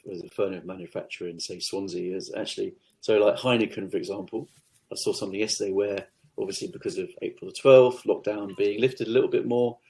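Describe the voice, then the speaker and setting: A man hosting a podcast interview, agreeing keenly and thinking out loud. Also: on the low side, rough recording. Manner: enthusiastic, agreeable, thinks aloud. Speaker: podcast host. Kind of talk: casual interview chat.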